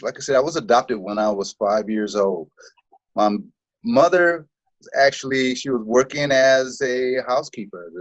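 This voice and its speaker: A man's voice: fairly low pitch, no room sound. A middle-aged man born in Korea and raised in the US Midwest, answering interview questions in an easygoing way. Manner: easygoing, laughs often. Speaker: guest. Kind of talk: casual interview conversation. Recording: dull, muffled recording.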